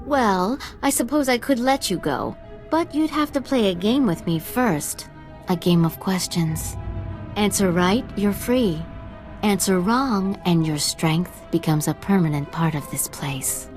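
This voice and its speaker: A woman's voice: medium pitch, lively intonation, a little slow, darkish tone, faint music behind, close to an everyday voice. A woman voicing a playful, lonely ancient female spirit who teases a visitor into a game of questions in a video game. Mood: teasing. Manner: whimsical, teasing, playful.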